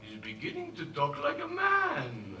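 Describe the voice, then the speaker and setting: An actor playing a domineering but soft-hearted old village father, exclamatory and sarcastic, in a musical film. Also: very high voice, huge pitch swings, a little slow, full character voice. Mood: pondering. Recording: echoey film soundtrack, distant, music behind.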